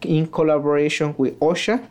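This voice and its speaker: A man's voice: fairly high pitch, fairly even intonation, moderate pace, a little mellow, slight background noise. Man from Oaxaca, Triqui native speaker. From Oaxaca, Mexico. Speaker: community worker. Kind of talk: interview.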